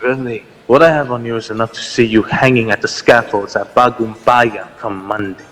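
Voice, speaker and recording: An actor playing a proud, stern army lieutenant with a sardonic edge in a radio drama. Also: male voice, mid-range voice, steady tone, normal speed, fairly bright tone, natural voice. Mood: stern, threatening. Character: proud, threatening army lieutenant loyal to the governor. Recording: long hall reverb, music underneath.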